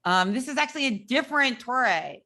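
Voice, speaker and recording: A woman's voice: sits high, lively intonation, brisk, pushed and bright. A woman runs an online chess class from her end of a video call. She speaks in an encouraging, organizing way, praising the students and moving the session along. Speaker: chess club host.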